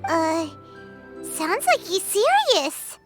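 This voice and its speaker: A woman voicing a tiny, chirpy, excitable childlike fairy companion with a squeaky voice in a video game. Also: extremely slow, faint music behind, exaggerated voice. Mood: shocked. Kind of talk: cartoonish video game dialogue.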